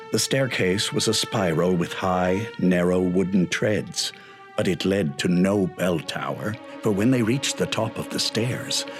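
Deep, grave horror narrator. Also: male voice, fairly bright tone. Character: grave male storyteller of gothic horror. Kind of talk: literary horror narration in radio drama.

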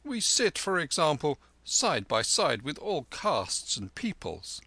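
A man voicing a fat, smug moneylender with an oily, drawn-out manner. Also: fairly high pitch, huge pitch swings, bright, forceful tone, slightly rough, no echo, voice pushed up, full character voice.

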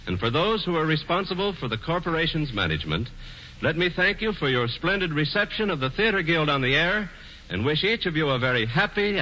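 A man delivers a formal, courteous sponsor's address with a lively, bright voice. The 1940s broadcast sounds muffled and carries an echoey hall behind it. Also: on the high side. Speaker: sponsor spokesman.

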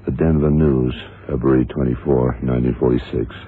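An actor playing a calm, methodical blind detective, speaking in a measured, deliberate way in 1960s radio drama style. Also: male voice, a bass voice, phone-quality sound, a little hiss or hum. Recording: thin old radio broadcast, loud music.

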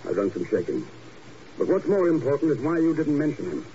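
A hard-to-fool radio detective, quick and clipped with a dark, lowish voice. He is dry and skeptical, dogged in his questioning. The old 1950s radio recording is muffled and noisy. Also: very animated. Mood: dry, probing. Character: wry, dogged American secret agent investigating a murder. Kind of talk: 1950s radio drama acting.

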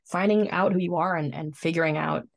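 Female, twenties, distinctly low pitch, reflective and informal podcast co-host delivery. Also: steady tone, fairly quick, gravelly. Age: 20s. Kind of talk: casual chat.

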